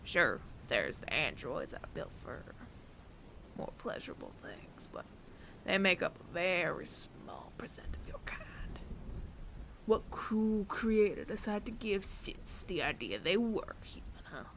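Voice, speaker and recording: Casting: male actor as world-weary sci-fi bounty hunter for audio roleplay, menacing and taunting, gravelly near-whisper, widely swinging intonation. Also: sits high, sing-song, bright, forceful tone, slight background noise, heavily acted, poor audio. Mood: musing, sardonic. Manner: slow, deliberate, taunting, with long dramatic pauses. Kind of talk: ASMR audio roleplay, addressing the listener.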